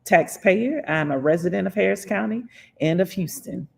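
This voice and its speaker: Creaky-voiced livestream host from Florida. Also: medium pitch, lively intonation. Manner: reads chat comments aloud and replies, laughs often, slows down to stress points. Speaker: livestream host answering viewer questions. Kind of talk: casual political livestream chat.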